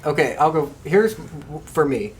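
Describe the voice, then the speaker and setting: A man's voice: a little high, expressive, measured pace. A man co-hosting a casual podcast discussion and giving his opinions conversationally. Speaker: podcast co-host.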